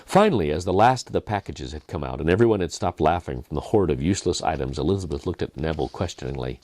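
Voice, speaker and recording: A man reading his own Christmas story in a dramatic, expressive way, with deliberate pauses. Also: very deep voice, no room sound. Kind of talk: scripted Christmas story narration.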